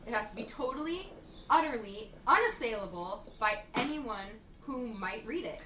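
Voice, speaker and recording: A woman's voice: on the high side, animated, moderate pace, natural voice. A woman acting as a pedantic, prim fiction editor in a satirical radio play, dry, exacting and sarcastic. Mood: skeptical, scornful. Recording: echoey room, clear background noise.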